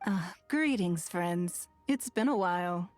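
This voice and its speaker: A woman playing a poised, slyly teasing official who speaks in measured, formal phrases in a video game. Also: fairly low pitch, expressive, darkish tone, soft background music. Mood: gracious.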